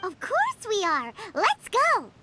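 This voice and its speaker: A woman voicing a chirpy, childlike little fairy companion in a video game, squeaky and excitable. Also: slow, slight background noise, full character voice. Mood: excited, eager. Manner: excitable, exclaiming. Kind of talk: video game character acting.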